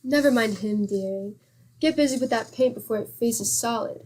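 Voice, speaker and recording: A woman acts a middle-aged wife in an old-time radio comedy. Her voice is high, her manner patient and wry, with a chiding, teasing dryness. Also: normal speed, a little mellow, slight background noise, natural voice. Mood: dryly teasing.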